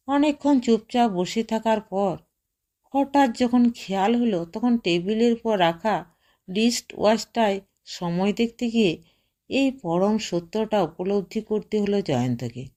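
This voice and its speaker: A woman narrating a short story as an audiobook, reading in a measured way and softly voicing the dialogue. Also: medium pitch.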